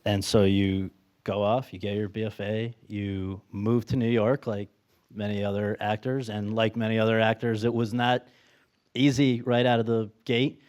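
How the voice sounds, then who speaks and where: A man's voice: lowish voice, little pitch movement, normal speed, darkish tone, clear, resonant tone. A man interviewing a guest, asking questions in an upbeat, encouraging way.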